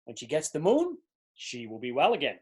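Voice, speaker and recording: A man's voice: mid-range voice, wildly swooping pitch, a little fast, a little mellow, husky. A man playing a demanding, fretful king in a storybook readers theater, complaining and insistent. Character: petulant, demanding king, fretful and easily enraged.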